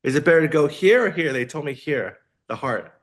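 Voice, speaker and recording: A man's voice: fairly high pitch, animated, brisk. A man hosting a podcast interview in a casual, conversational way. He is enthusiastic and laughs often. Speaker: podcast host.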